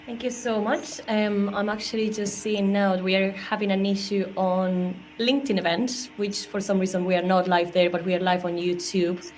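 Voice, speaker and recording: A woman's voice: mid-range voice, flat delivery, normal speed, hardly any pauses, a little mellow. A woman moderating an online panel, hesitant, with many fillers. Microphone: video call. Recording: slightly noisy video-call audio.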